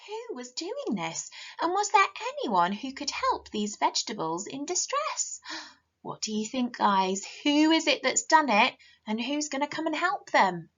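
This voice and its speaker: A woman teacher reading a picture book to children, animated and asking them questions, often dropping to a whisper. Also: high voice, measured pace, dull audio.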